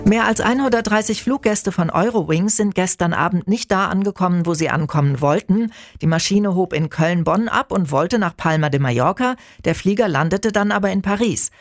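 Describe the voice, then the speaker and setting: A woman's voice: fairly low pitch, normal speed, few pauses, no room sound. A woman reading scripted radio news as an anchor, close to a studio microphone.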